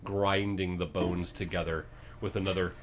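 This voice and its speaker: A man running a tabletop role-playing game, improvising narration with hesitant phrasing and dramatic pauses. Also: sits low, flat delivery, slight background noise. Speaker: game master.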